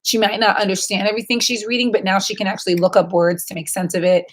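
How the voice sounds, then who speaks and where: A woman's voice: mid-range voice, flat delivery, quick pace. A woman in her thirties hosting a casual livestream, chatting informally and answering questions in a rambling way. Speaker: livestream host.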